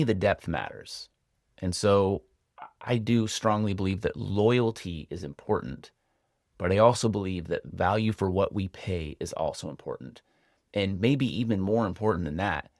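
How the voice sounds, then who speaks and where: An American man with a deep, low voice speaks as a financial advisor hosting his own show. He explains things in a measured, reflective manner, often posing questions to the viewer. The sound is dry, with very little room in it. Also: a little mellow, no room sound. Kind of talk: explanatory advice talk to camera.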